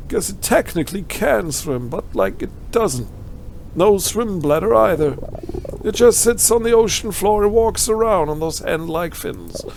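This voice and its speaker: High-pitched, gravelly German man. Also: darkish tone, a little hiss or hum. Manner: wry, ironic commentary. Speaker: let's player. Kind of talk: let's play, reading game text aloud with off-the-cuff German asides.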